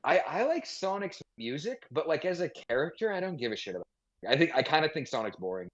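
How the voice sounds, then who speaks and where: A man's voice: on the high side, sing-song, fast talker. Blunt man on dull lo-fi audio. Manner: blunt, sweary, slangy. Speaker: podcast co-host. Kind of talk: casual chat, opinionated commentary.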